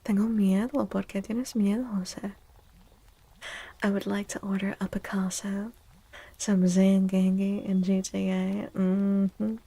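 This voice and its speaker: A woman chats softly to her stream in an ASMR style. Her voice is breathy and dark with some fry, and her pitch stays fairly flat. She is playful, often dropping to a whisper. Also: slow. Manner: soft, hushed, often slipping into whispers; playful, teasing. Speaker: ASMR streamer and tarot reader. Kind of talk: soft-spoken ASMR, casual chat with livestream viewers.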